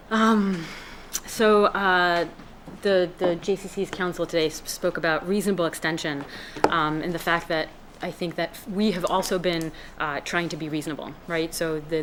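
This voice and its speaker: A woman's voice: lowish voice, a bit bright, slight background noise. A woman from Israel, a resident speaking at a town hearing, earnest and hesitant with many fillers and restarts. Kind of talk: public comment at a town hearing. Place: town meeting room.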